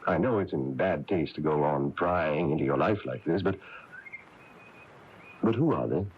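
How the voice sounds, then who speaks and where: A genial small-town minister in a radio play, coaxing and curious, with a very low, animated voice. It comes off a dull, noisy broadcast tape. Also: male voice, normal speed. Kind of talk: radio drama acting.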